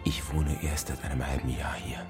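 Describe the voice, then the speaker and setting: A down-on-his-luck educated man with an extremely low voice. He talks haltingly, in short resigned phrases, as in a naturalistic radio crime play, with music underneath. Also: level tone. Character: resigned, educated, unemployed former schoolteacher, a man. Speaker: neighbour being questioned by police.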